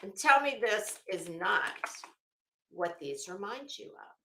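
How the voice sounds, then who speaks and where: A woman chats to her livestream in a bright, forceful voice with a roomy echo around it. She takes her time, rambling, breaking off to search for a word, then quoting herself with dramatic emphasis. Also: medium pitch, very animated, slow pace, slight background noise. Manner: rambling, self-interrupting, searching for words. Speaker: livestream host. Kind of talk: casual livestream chat. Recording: roomy, echoing room sound.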